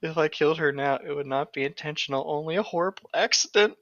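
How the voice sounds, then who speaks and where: A man's voice: on the high side, heavy background noise. A man co-hosting a comic book reading, chatting playfully and laughing easily, with a slightly dull recorded sound. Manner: playful, silly, quick to laugh. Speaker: co-host of a comedic book-reading show. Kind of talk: casual banter and comic read-aloud.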